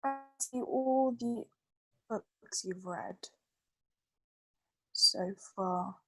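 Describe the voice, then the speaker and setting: High-pitched, encouraging woman tutor. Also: very slow, sharp tone, low-quality recording. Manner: encouraging, reassuring. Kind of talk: one-on-one tutoring with a child.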